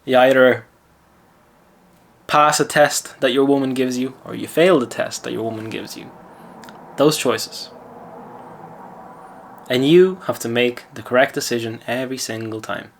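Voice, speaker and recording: A man's voice: medium pitch, fairly even intonation, unhurried, gravelly, long pauses, a little hiss or hum. Lithuanian man with an Irish accent. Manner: chatty, self-assured, lecturing. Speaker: mindset coach. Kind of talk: casual storytelling and advice monologue.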